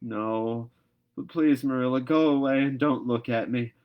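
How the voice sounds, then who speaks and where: A man's voice: mid-range voice, a little slow, smooth, muffled recording, pitched above the performer's own voice, lightly acted. Storybook role: male reader as young girl, melodramatic, pleading, full of theatrical despair.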